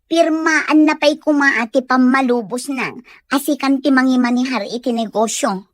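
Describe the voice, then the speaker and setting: A woman's voice: high-pitched, moderate pace, no room sound, studio quality, lightly acted. A woman with a Filipino accent playing a conniving accomplice who eggs on a schemer in a radio drama. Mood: urging. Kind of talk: Ilocano radio drama acting.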